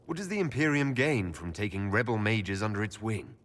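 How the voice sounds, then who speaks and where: A wary young envoy in a fantasy game. His voice is low and lively in pitch, firm yet diplomatic, and it echoes with long hall reverb. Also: brisk. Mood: probing.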